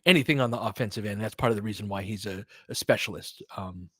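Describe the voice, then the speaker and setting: A man's voice: on the low side, quick pace, slightly rough, no echo, clean studio sound. A man hosting a sports stream in a casual, conversational way, thinking aloud and qualifying his opinions as he answers listeners. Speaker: sports livestream host.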